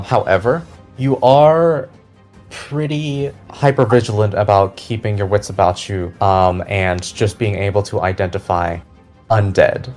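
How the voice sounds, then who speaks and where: A man narrating a fantasy tabletop game as game master, describing scenes deliberately and with suspense. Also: fairly low pitch, a little mellow. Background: background music.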